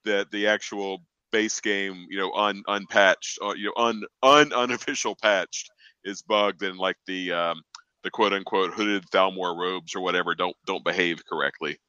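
A man in a casual gaming roundtable chat, a podcast panelist who explains things in a rambling, halting way. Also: deep voice, steady tone, bright, forceful tone, clear, resonant tone, dry recording, dull audio.

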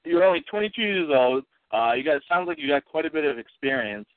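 A man's voice: on the high side, animated, no echo. A congested man hosts a casual call-in podcast, stumbling through fillers and restarts. His voice comes over a tinny, narrow phone line. The sound is dry and close, with no room at all. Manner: halting, many fillers and restarts. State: stuffy from allergies and a cold. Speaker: podcast host.

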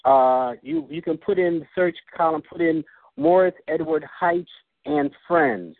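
A man, a singer, speaking as a radio guest, hesitant with many pauses and fillers. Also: a little high, sing-song, creaky, no echo. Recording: tinny phone line.

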